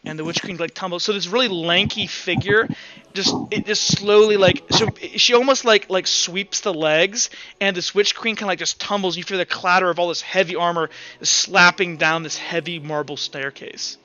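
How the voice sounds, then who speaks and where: A man working as game master for a tabletop roleplaying game, narrating off the cuff in a casual, halting way. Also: high voice, pushed and bright. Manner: casual, halting, uses filler words. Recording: dull lo-fi, music underneath. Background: background music.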